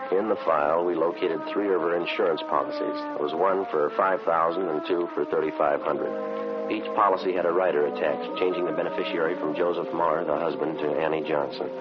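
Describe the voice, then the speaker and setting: An actor playing a clipped, matter-of-fact police detective who narrates a vintage radio drama. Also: male voice, low voice, steady tone, a little fast, lightly acted. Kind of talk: 1950s radio drama acting. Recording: dull vintage radio recording with music.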